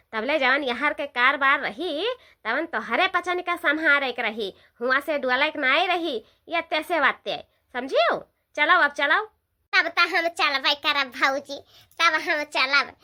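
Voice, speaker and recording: An actor voicing an excitable village woman in a cartoon comedy, with a childlike, sped-up voice. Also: high voice, full character voice. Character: animated village women, sisters-in-law celebrating a festival, excitable.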